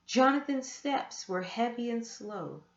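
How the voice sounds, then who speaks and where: Woman storyteller, children's read-aloud, very lively intonation, unhurried, roomy and slightly dull recording. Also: on the high side. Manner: deliberate, animated storytelling. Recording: echoey room, dull muffled sound.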